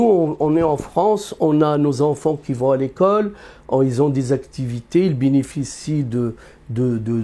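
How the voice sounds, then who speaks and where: Earnest halting man, mellow voice. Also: on the high side. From Algeria. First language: Berber. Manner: earnest, halting with many fillers and restarts. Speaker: economist interviewee. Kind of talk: unscripted interview.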